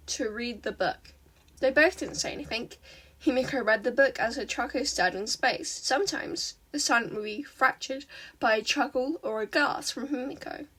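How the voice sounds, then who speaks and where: A woman reading fan fiction aloud as an expressive narrator. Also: high-pitched, breathy edge, distant mic.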